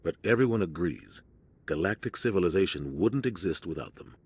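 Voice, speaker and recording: An actor playing a serious male starship captain giving a steady, earnest briefing in a video game cutscene. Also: sits low, a little fast, husky, full character voice.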